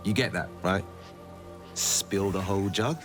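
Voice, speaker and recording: An actor playing a swashbuckling, boastful young monster hunter in a fantasy video game, with charming, theatrical flourishes. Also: male voice, deep voice, measured pace, over music. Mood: teasing.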